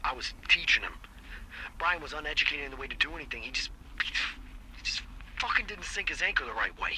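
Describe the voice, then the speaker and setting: A man's voice: fairly high pitch, expressive, quick pace. An actor playing a bitter, defensive middle-aged recluse and father in a video game, rambling on tape in folksy, profane language. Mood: agitated, regretful. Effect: played back from a tape. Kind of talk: taped confession in a video game drama. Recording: taped voice with faint noise.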